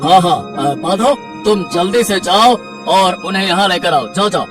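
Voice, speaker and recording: A man's voice: high-pitched, lively intonation, normal speed, music in the background. A man voicing a concerned, commanding king in a Hindi cartoon folktale, with an authoritative, questioning manner. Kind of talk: Hindi cartoon moral story acting.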